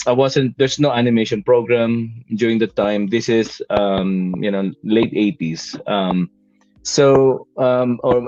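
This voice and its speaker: 필리핀 억양의 50대 남성이 인터뷰에서 머뭇거리며 "음", "어" 같은 말을 자주 섞어 가며 차분히 답합니다. 목소리는 중간 높이이고, 울림 없이 건조하며 다소 먹먹한 저음질로 녹음되었습니다. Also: male voice, medium pitch, darkish tone, dry recording. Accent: Filipino. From Philippines. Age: 50s. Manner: hesitant, many fillers and restarts, easy laughs. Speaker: guest, animation artist. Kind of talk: casual interview answers. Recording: dull lo-fi dry sound.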